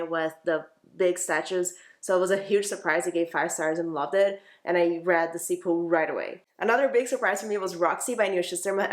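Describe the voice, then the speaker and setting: Casting: female book vlogger, mid-pitched, chatty and opinionated, informal delivery, recorded in a roomy, echoing space. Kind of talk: casual chatty book review to camera.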